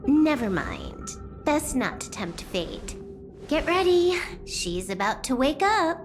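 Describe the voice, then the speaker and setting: Playful teasing game gal, slow lilting delivery, music in the back. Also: female voice, high voice, sing-song, heavily acted. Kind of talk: video game voice acting. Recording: music under the voice.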